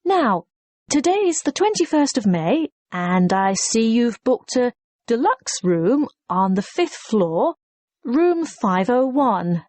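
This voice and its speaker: A woman's voice: a little high, sing-song, slow pace, darkish tone, no echo. A woman playing a courteous, efficient hotel receptionist in a scripted listening-test dialogue, formal and helpful.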